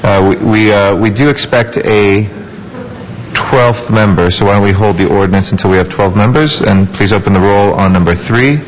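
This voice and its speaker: A man in his thirties chairing a city council meeting from a desk microphone in a large chamber, brisk and procedural with relaxed fillers. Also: on the low side, a little hiss or hum, low-quality recording. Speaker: council president.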